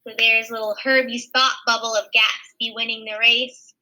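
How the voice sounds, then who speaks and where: Female read-aloud teacher, high and very bright, expressive storytime delivery. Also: normal speed, low-quality recording. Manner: expressive, explaining pictures simply. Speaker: teacher reading a picture book aloud. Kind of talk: animated children's read-aloud with asides.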